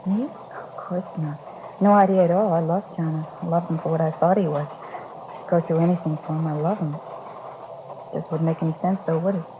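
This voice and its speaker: A woman's voice: deep voice, fairly even intonation, moderate pace, natural voice. An actress playing a distressed, lovelorn young woman in 1950s radio drama, speaking hesitantly and fretfully. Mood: hurt, defensive. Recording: dull, noisy vintage radio broadcast.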